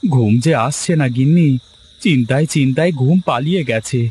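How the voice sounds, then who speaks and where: A man's voice: on the high side, normal speed, a little mellow, a little hiss or hum, close to an everyday voice. A man voicing a poor village fisherman and husband in a cartoon story. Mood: weary, resigned. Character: poor village fisherman husband, humble, worried, later cocky. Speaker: cartoon character voice. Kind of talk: Bengali cartoon story dialogue.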